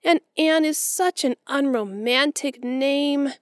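Storybook orphan girl, very high and emphatic, gushing and tearful. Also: takes it slowly, no echo, studio quality. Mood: eager pleading. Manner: breathless, florid, tearful pleading. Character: eager, dramatic orphan girl, about eleven. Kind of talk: audiobook character voice.

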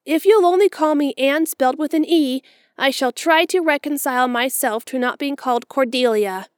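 A woman's voice: high voice, moderate pace, dry recording, clean studio sound, pitched above the performer's own voice, lightly acted. A woman doing a dramatic, tearful little orphan girl. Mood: eager pleading. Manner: breathless, florid, tearful pleading. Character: eager, dramatic orphan girl, about eleven. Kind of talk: audiobook character voice.

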